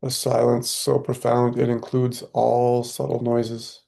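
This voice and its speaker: Very monotone man guiding meditation, slow and gravelly. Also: mid-range voice. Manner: calm, unhurried, soothing. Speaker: meditation guide. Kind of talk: guided meditation, spoken softly with long pauses.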